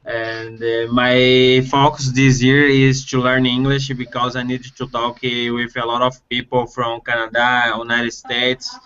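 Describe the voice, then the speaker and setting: A man in his twenties with a Brazilian accent, learning English and haltingly searching for words. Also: mid-range voice, completely flat delivery, fairly bright tone, clear, resonant tone. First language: Portuguese. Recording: dull lo-fi online call.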